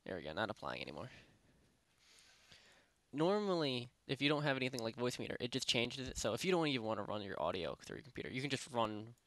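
A young man at his home PC walks through a software setup in a loose, rambling way, full of fillers and self-corrections. His voice sits at an ordinary middle pitch and comes across close and dry, with no room sound. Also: very animated, fast, gravelly, no room sound. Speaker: tutorial host. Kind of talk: casual screen-recorded software tutorial. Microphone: desktop microphone at a PC.

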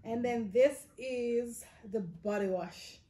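A woman's voice: a little high, slow pace, dark tone. A woman in her thirties with a Jamaican accent, chatting casually to camera in Patois-inflected phrasing as a lifestyle vlogger.

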